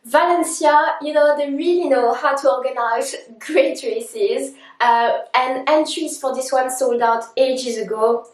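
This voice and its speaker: A woman's voice: sits high, measured pace, few pauses. A woman vlogging about her running plans, upbeat and methodical as she lists her race times. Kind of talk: talking to camera. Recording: roomy echo.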